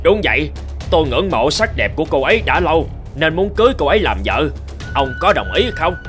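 A man's voice: a little high, very animated, brisk, fairly bright tone, soft background music. A man voicing a proud, lovestruck and gullible lion in a cartoon fable, boastful and demanding. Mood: haughty, insistent.

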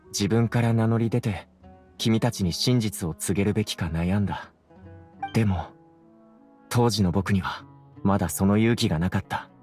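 An actor playing a gentle, self-doubting young man in an anime game, earnest, soft-spoken and reflective. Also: fairly low pitch, lightly acted. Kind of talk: anime video game voice acting.

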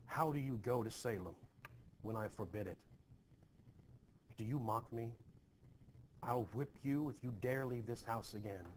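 A man playing a stern, troubled, short-tempered Puritan farmer in an expressive play reading, with forceful archaic phrasing. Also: medium pitch, measured pace, long pauses, natural voice. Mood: angry, scolding. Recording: noisy home recording.

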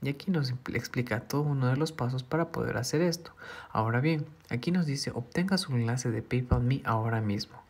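Husky man explaining a phone tutorial. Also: mid-range voice, brisk. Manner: patient, explanatory, repeats himself. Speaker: tutorial host. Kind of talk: screen-recorded phone tutorial.

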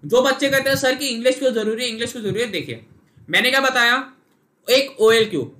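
A man's voice: extremely high pitch, bright, forceful tone. A young Indian man coaching students in a live online class, explaining patiently and calling on viewers by name. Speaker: SSB interview coach.

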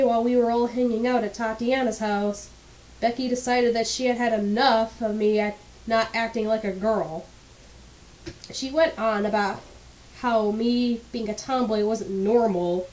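A woman's voice: on the high side, fairly even intonation, unhurried, a little mellow, low-quality recording. Stuffy-voiced young woman telling a story. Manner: candid, blunt, swears casually. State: stuffy, slightly congested voice. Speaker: animated storytime narrator. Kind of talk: casual storytime narration.

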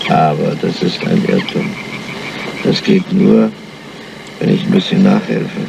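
An actor playing a crafty, weary, grumpy police inspector in a radio crime drama, speaking in a dry, measured, deliberate way. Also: male voice, rumbling low, big pitch swings, creaky, exaggerated voice. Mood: firm, bargaining. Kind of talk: 1970s German crime radio drama acting. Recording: noisy, dull old radio drama recording.

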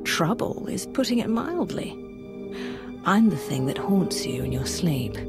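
A woman's voice: lowish voice, sing-song, measured pace, vocal fry, music in the background, full character voice. An actress playing a menacing female Sith inquisitor in a video game cutscene. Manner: cold, threatening, hushed.

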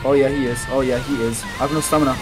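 A man's voice: mid-range voice, steady tone, quick pace. A man chatting casually with a friend while gaming, slangy and frantically repeating his phrases. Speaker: gamer playing co-op. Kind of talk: casual gameplay chat with a friend. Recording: very loud game music behind voice. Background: video game music and effects.

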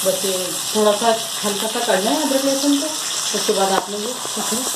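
A woman's voice: mid-range voice, normal speed, very noisy. Woman cook explaining steps in echoey kitchen. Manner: explains steps patiently, promises tips. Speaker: home cook, co-host of cooking channel. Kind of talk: casual cooking demonstration. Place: home kitchen, indoors.

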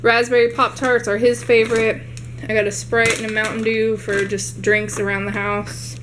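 A woman's voice: on the high side, level tone, moderate pace, background noise. A young woman chatting casually at home as she shows off her grocery haul to a handheld camera. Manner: chatty, rambling show-and-tell. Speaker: vlogger. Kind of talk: casual haul video narration. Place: home living room.

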